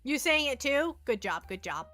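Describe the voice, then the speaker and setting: A woman's voice: high-pitched, very animated, moderate pace, sharp tone, faint music behind. A woman chatting casually to viewers as a livestream host, rambling playfully and often repeating her phrases.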